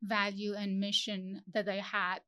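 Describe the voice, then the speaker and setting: A young woman from Iran, talking as an interview guest, halting and searching for her words with many fillers. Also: mid-range voice, completely flat delivery, pushed and bright. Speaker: guest, PhD student. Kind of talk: interview conversation.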